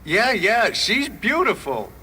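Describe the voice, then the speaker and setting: Bright, lively, doubtful young boy. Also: mid-range voice, slow pace, husky, exaggerated voice. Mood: admiring. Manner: skeptical, plaintive, childlike. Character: doubtful, teasing young boy, spaceman's boyhood pal. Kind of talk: dramatized short story acting. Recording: noisy vintage radio drama recording.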